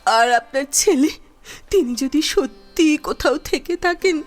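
Young widowed daughter-in-law played by an actress: high voice, slow and plaintive, devoted, sorrowful, soft music underneath. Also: female voice, expressive. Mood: troubled. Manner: plaintive, halting, repeating phrases. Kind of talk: emotional audio drama acting.

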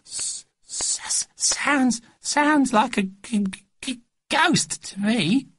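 A man's voice: very high voice, extremely slow, a bit bright, dry recording, pitched above the performer's own voice, full character voice. Man playing a scared, stammering little boy. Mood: frightened, stammering. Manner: wobbly, stammering, breathy whispers. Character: frightened small boy camper, cocky but easily scared.

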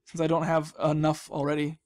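A man with a fairly high voice talks through his gameplay as he goes. His commentary is casual and rambling, full of chatty asides and small self-deprecating jokes. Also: completely flat delivery, fairly quick, darkish tone. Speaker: gaming video host.

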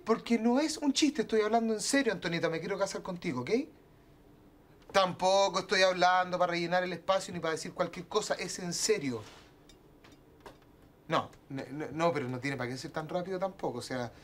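Insistent Chilean suitor, high-pitched, serious. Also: male voice, fairly bright tone, slight background noise. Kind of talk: 1990s telenovela acting. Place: indoor apartment.

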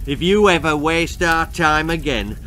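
Guy doing a furious shouty fire chief, stern and blustery, kids storybook. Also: on the high side, lively intonation, measured pace, a little hiss or hum, poor audio. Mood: furious threat. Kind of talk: children's horror-comedy storybook reading.

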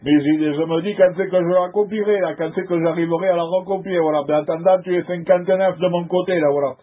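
A man's voice: sits high, steady tone, fast talker. A man working as a CB radio operator, chatting informally in a friendly way over the air. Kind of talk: CB radio contact, informal chat. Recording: tinny narrowband radio audio.

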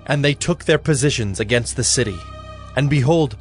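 Measured male scripture narrator over music. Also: mid-range voice. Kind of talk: scripted audio Bible narration.

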